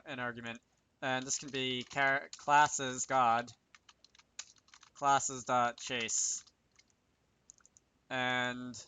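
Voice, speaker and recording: Bright-voiced man thinking aloud while coding. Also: mid-range voice, slight background noise. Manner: self-talking, wry asides. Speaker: video creator demonstrating game programming.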